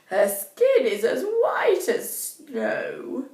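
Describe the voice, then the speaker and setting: A woman's voice: high voice, huge pitch swings, extremely slow, a little mellow, pitched above the performer's own voice, exaggerated voice. Lady putting on a wicked old peddler woman, sly storybook villain, sounds roomy and a bit far off. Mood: sly, inquiring. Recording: echoey room, distant mic.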